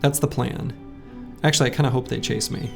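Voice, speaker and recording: A man live-streaming a game, his voice slightly hoarse with a gravelly, creaky edge. He rambles in a loose, chatty way and greets viewers by name. Faint game music plays underneath. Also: mid-range voice, fairly even intonation, a little fast. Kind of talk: casual live-stream chat while playing.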